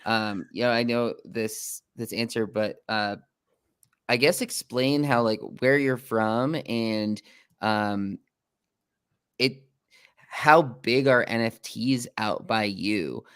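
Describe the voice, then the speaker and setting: A man with a clear, fairly low voice works as a podcast interviewer. He talks informally and wanders through his questions, with a dry, close sound and no room to it. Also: slow pace, a bit bright, dry recording. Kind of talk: casual interview chat.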